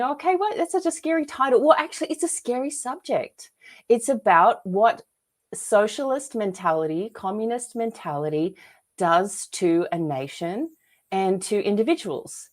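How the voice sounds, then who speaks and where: A woman with an Australian accent, speaking earnestly as a guest author in an interview, with frequent restarts and fillers. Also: lowish voice. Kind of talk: interview conversation.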